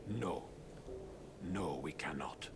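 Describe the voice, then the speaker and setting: A man's voice: expressive, slow pace, full character voice. An actor playing a grave, wise old mutant father in a cartoon game, speaking solemnly and with measure. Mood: grave worry. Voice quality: deep, gravelly. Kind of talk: cartoon video game acting. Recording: loud background noise.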